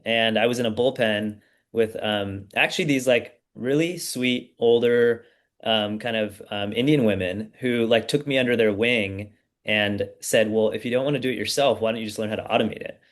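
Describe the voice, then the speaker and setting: A man in his thirties from the United States, a startup founder guest telling his story in a relaxed, casual way with plenty of fillers. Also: lowish voice, normal speed. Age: 30s. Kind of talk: casual podcast interview.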